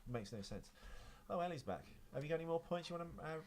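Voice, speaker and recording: Lively, rambling male podcast co-host. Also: a little high, quick pace. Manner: jokey, rambling, self-deprecating. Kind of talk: casual banter about films.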